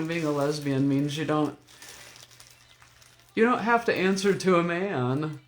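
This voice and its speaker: Deep-voiced older woman ranting slowly. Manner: blunt, profane ranting. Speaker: vlogger. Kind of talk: rambling talk to camera. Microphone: camera mic.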